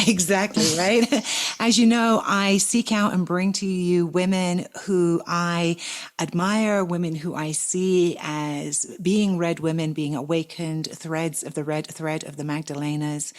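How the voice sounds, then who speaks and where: A woman hosting a podcast, effusive and affectionate, often laughing as she introduces her guest. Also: on the low side. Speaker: podcast host. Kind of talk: warm interview chat.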